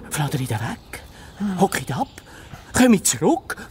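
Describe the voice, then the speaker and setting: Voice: medium pitch, big pitch swings, moderate pace, crowd noise, lightly acted. An actor playing a grumbling, impatient fox inspector in a children's audio drama, with a Swiss German accent and sarcastic exclamations. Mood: puzzled. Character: grumpy impatient fox police inspector, adult male.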